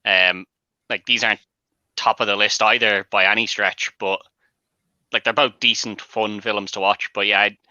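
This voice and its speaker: A man's voice: on the low side, fairly even intonation, normal speed, bright, forceful tone, no room sound. A man giving his opinions as a guest in a casual panel discussion. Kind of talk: casual chat. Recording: dry, dull lo-fi audio.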